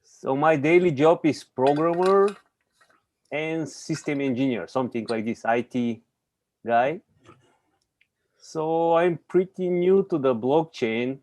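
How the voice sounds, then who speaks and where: Slow-talking man from Bulgaria. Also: a little high, a little mellow, no echo. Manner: halting non-native phrasing with laughs. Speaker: meeting participant, programmer. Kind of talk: casual self-introduction on a call.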